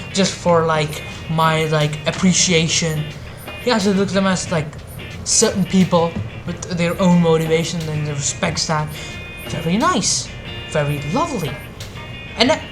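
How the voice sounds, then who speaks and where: A woman giving an unscripted, opinionated anime review rant in an exasperated, halting, sweary manner. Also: on the low side.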